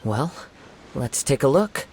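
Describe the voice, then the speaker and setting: A man voicing a calm, reflective young swordsman in a video game, speaking in a measured, articulate way. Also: medium pitch, slight background noise. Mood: solemn. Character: calm, thoughtful young wandering male swordsman piecing together clues.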